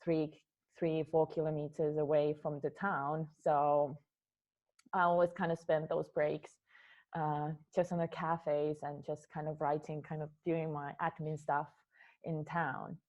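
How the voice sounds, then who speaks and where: Woman, Finnish accent, Finnish native speaker, dance artist being interviewed, hesitant, lower-pitched, muffled sound. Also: flat delivery, unhurried, darkish tone. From Finland. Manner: hesitant, repeats words while thinking. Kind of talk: interview answers.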